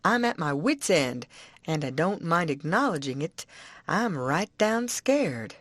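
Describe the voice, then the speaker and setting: A woman's voice: low voice, sing-song, unhurried, a bit bright, no echo, exaggerated voice. A woman voicing a worried, plain-spoken old farmer with an anxious drawl.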